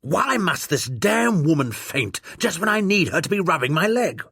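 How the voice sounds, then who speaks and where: A blustering old aristocrat who bellows orders and bristles at anyone crossing him, played by a man narrating a storybook. His voice is pitched well up and carries a lively swing, and the recording sounds close and dry. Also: moderate pace, breathy edge, dry recording, full character voice. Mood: commanding. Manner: imperious, indignant, commanding. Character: blustering, bullying old English lord.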